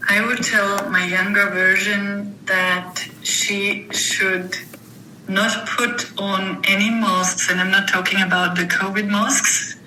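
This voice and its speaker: A woman speaking as an interview guest, reflecting thoughtfully in halting phrases with pauses. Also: medium pitch, little pitch movement, bright, forceful tone. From Germany. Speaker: interview guest, author and coach. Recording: roomy echo, faint background noise.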